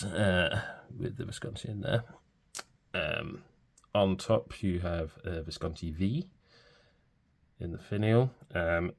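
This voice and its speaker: A man reviewing a fountain pen in a relaxed, hesitant way with many fillers, sounding stuffy from a cold. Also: deep voice. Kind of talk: casual product review.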